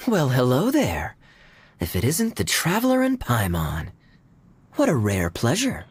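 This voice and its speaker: A man playing a suave, sly knight captain in a video game, with a smooth, teasing drawl. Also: mid-range voice, huge pitch swings, measured pace, dry recording, faint music behind, full character voice. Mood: sly greeting.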